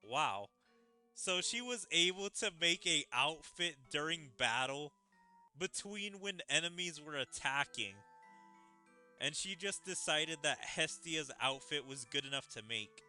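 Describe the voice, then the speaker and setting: A man's voice: a little high, expressive, measured pace, bright, forceful tone, clear, resonant tone, faint music behind. A man giving casual commentary on a video game, reacting to the story as he plays. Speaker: gaming video creator playing and reacting to a story event.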